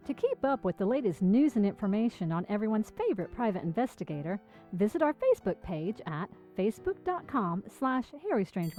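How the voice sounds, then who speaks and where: A woman's voice: medium pitch, darkish tone. A woman announcer reading scripted credits for a show. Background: music.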